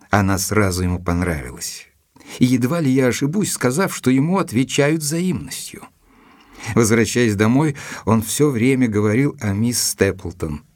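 An actor playing an observant English gentleman who narrates his written report in a measured, expressive audio-drama storytelling style. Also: mid-range voice, dry recording, close to an everyday voice. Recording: dry studio recording with music behind. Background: music.

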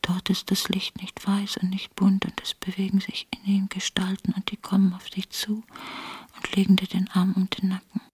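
A woman's voice: mid-range voice, completely flat delivery, normal speed, a little mellow, slightly rough. An actress voicing a fragile, world-weary woman revolutionary in feverish dreams, whispering breathily in a radio play. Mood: dreamy.

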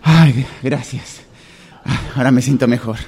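A man's voice: medium pitch, a little slow, creaky, darkish tone. A man with an Argentine accent playing an anxious, overwhelmed parent, fretting repetitively in an improvised radio theatre monologue. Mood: faint, pleading. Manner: fretful, sighing, repetitive. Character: anxious, breathless, overwhelmed parent stuck waiting in line.

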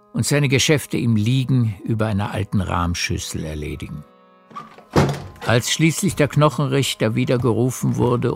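A man narrating a literary radio play in a measured storytelling manner. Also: medium pitch, gravelly. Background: music.

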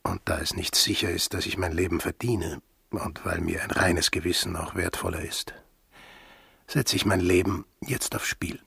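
An actor playing a brooding, sardonic, defiant sailor in a radio drama, speaking tersely in a husky, gravelly voice. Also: male voice, sits low, fairly even intonation, normal speed, fairly bright tone, dry recording, exaggerated voice. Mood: brooding, resolute.

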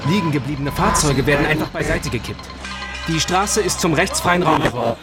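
Polished male news anchor played by an actor; slightly high register, measured, loud music bed, radio drama. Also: close to an everyday voice. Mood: formal. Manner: formal, measured.

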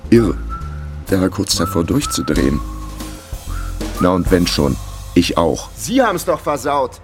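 A man's voice: deep voice, huge pitch swings, a little slow, over music, heavily acted. An actor playing a wry, down-on-his-luck amateur sleuth who narrates a radio crime drama with dry sarcasm. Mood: indignant. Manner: dry, sardonic. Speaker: narrator and lead character.

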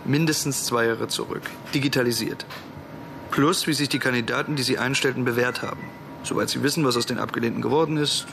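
An actor plays a young male programmer in a radio drama, dry and sure of himself. His voice is middling in pitch with a gravelly creak, over faint noise. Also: steady tone, fast, natural voice. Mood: confident, businesslike. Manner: matter-of-fact, cocky, explaining. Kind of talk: German radio drama acting.

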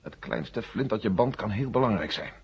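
An actor playing a grave, commanding general in a science-fiction radio drama, speaking with insistent authority. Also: male voice, fairly low pitch, expressive, moderate pace, darkish tone, a little hiss or hum. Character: grave, commanding male general giving urgent orders. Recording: dull old radio drama recording.

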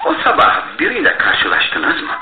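Through a narrow, echoing radio recording comes a shrewd, courteous detective. His pitch swings dramatically from low murmurs to high exclamations, and he pads his probing questions with small thoughtful hums. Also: huge pitch swings, normal speed, gravelly, heavily acted. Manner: hesitant interjections, polite probing questions. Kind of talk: Turkish radio theatre acting. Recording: muffled phone-like noisy old radio, roomy echo.

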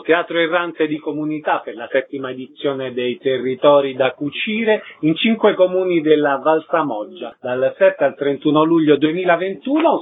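A man's voice: on the high side, slightly rough. A man working as a radio interviewer, delivering prepared questions and announcements through a narrow, phone-like sound.

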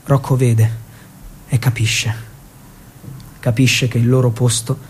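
A man performing theatrical scripted narration as a solo storytelling actor, dramatic and with deliberate pauses. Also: medium pitch, level tone.

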